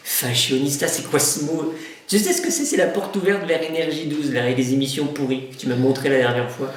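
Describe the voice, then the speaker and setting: A man's voice: a little high, brisk. A man in his thirties talking in a conversational comedy sketch, indignant and emphatic, as a fussy dad. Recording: very echoey room, faint noise.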